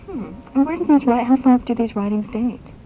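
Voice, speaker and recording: A woman's voice: fairly high pitch, expressive, moderate pace. Woman host on noisy shortwave radio. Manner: inquisitive, thinks aloud in piled-up questions. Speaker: radio show host interviewing a guest. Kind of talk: conversational radio interview questioning. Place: radio broadcast, taped off air. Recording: muffled, noisy shortwave radio broadcast on cassette.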